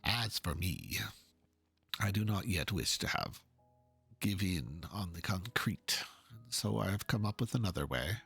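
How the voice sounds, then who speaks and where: Man playing theatrical painter with wide-swooping pitch. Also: animated, vocal fry, fairly bright tone, slightly rough, soft background music, full character voice. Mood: reverent, fervent, then earnest. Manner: florid, dramatic, halting mid-thought. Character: theatrical, earnest male painter, pompous and fervent about art. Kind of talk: dramatic reading of game dialogue.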